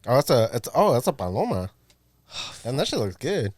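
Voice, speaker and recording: A man's voice: mid-range voice, very animated, moderate pace, a little mellow, no echo, studio quality. A man co-hosting a casual podcast, teasing his friends, laughing and swearing. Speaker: podcast co-host. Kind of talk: casual chat among friends.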